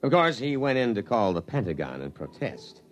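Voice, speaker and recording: An actor playing an officious, earnest, humorless lieutenant colonel in a 1950s radio drama, formal and military. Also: male voice, on the low side, big pitch swings, normal speed, faint music behind. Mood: matter-of-fact narrating. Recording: noisy 1950s radio broadcast.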